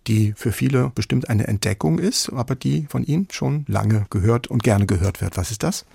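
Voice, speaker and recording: A male radio host with a gravelly, husky voice speaks in a close, dry studio sound. He leads a relaxed cultural interview at a medium pitch. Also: normal speed, no room sound.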